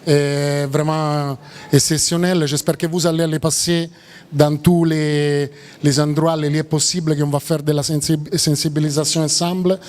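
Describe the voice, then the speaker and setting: A man with a strong Italian accent giving public remarks as a cooperation official, halting and repeating words with drawn-out pauses. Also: a little high, flat delivery, darkish tone.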